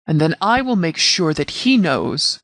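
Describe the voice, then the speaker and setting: A man voicing a stern, commanding sheriff who leads a wasteland militia in a video game, speaking in a formal, measured, authoritative way. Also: a little high, sing-song, fairly bright tone, no echo, clean studio sound, full character voice. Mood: resolute. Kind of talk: scripted video game dialogue.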